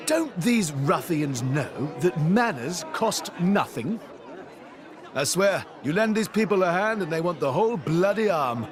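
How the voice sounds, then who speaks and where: A man's voice: high voice, very animated, a little slow. A man with a British accent playing a jaunty, condescending guide with pompous, theatrical airs in a video game. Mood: haughty, exasperated. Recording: game music and crowd behind.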